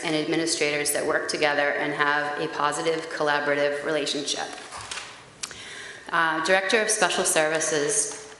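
A woman in her forties with a loud, carrying voice, reading a prepared statement at a podium microphone in a town meeting chamber. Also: sits low. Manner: earnest, steady reading with occasional hesitant fillers. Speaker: parent group facilitator at a public meeting. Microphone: gooseneck podium microphone. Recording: echoey hall, faint background hiss.

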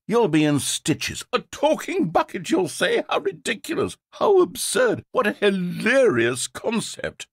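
An actor playing a vain, fussy game narrator obsessed with comedic timing, with a slightly breathy voice. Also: male voice, on the high side, dry recording, exaggerated voice. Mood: smug. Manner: theatrical, fussy, swings between pride and self-pity. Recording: dry close studio sound.

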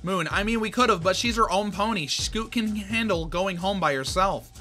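Male reader for a laid-back teen filly, blunt and dry, high and bright. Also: normal speed, faint music behind. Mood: deadpan.

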